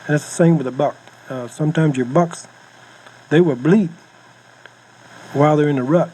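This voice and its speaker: A man's voice: on the high side, moderate pace, mellow. An American man giving informal hunting instruction on a cassette tape, with plainspoken, folksy phrasing. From United States. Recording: noisy home-style cassette tape recording.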